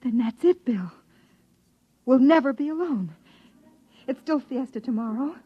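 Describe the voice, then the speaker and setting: Breathy, frightened American wife. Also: female voice, sits high, lively intonation, measured pace, no room sound, heavily acted. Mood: frightened, urgent. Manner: pleading, urgent. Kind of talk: 1950s radio acting. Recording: muffled vintage radio.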